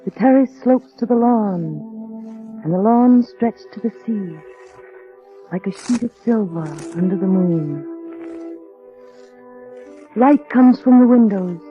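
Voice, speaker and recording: Wistful young female storyteller, measured and hesitant, thin phone-like 1930s broadcast, faint music. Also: medium pitch, lively intonation. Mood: dreamy, wistful. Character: timid, wistful young woman narrator, lady's companion. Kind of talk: 1930s radio drama narration.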